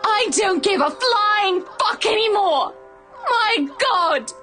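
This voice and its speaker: A woman's voice: very high voice, lively intonation, slow pace, bright, forceful tone, heavily acted. An actress playing a poised, wry young married woman in a visual novel, politely spoken but ready to swear. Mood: bitter, furious. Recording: music playing underneath. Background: game music.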